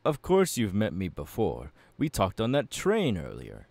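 A man acting out a confident anime warrior mentor in an audio roleplay. The voice is fairly low and very animated, unhurried and full of dramatic pauses as he instructs a newcomer. It is recorded dry and close. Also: creaky, no room sound, full character voice. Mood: welcoming, instructive. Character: confident, fiery swordsman mentor, male anime demon slayer. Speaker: voice actor. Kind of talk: ASMR audio roleplay, addressing the listener.

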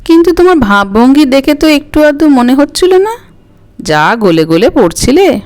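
A woman's voice: sits high, very animated, moderate pace, darkish tone, pitched above the performer's own voice. A woman voicing a curious, teasing young granddaughter in a dramatic story reading. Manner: teasing, inquisitive. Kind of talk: dramatic audiobook character reading. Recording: music under the voice.

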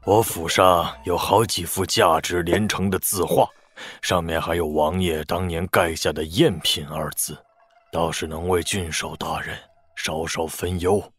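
A man playing a composed older official in a Chinese martial arts audio drama, speaking in a measured, courteous way. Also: low-pitched, fairly even intonation, fairly bright tone, husky, soft background music, heavily acted.

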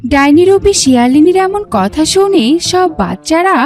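A woman's voice: extremely high pitch, big pitch swings, normal speed, darkish tone. A woman narrating a scripted fairy tale for a children's cartoon. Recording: clear music bed behind narration.

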